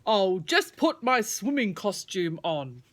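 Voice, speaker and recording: A woman's voice: mid-range voice, sing-song, slow pace, slight background noise. A woman voicing a boastful, blunt little male worm in a children's storybook. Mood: helpful. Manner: blunt, boastful, loudly emphatic.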